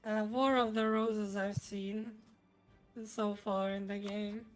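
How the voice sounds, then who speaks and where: A woman's voice: mid-range voice, flat delivery, slow pace, soft background music, rough recording. A woman from Croatia chatting casually as a streamer while playing a strategy game, rambling a little with dry humour. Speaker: gaming streamer.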